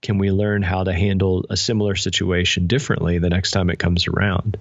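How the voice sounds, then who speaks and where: A man speaking as an interview guest in a thoughtful, measured, explanatory way. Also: low-pitched, level tone, creaky, dry recording, lo-fi sound. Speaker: podcast guest, spiritual director and author. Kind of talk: reflective interview conversation.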